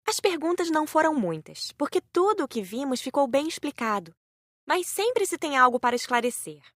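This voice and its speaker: A woman's voice: high voice, very animated, moderate pace, fairly bright tone, no room sound, studio quality. A woman reading scripted first-person audiobook narration in a composed storytelling manner.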